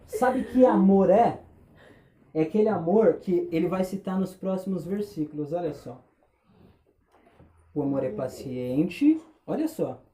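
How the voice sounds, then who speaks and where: A man preaching a sermon, impassioned, firing rhetorical questions and dropping between loud and hushed. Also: high-pitched, roomy sound, slight background noise. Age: 20s.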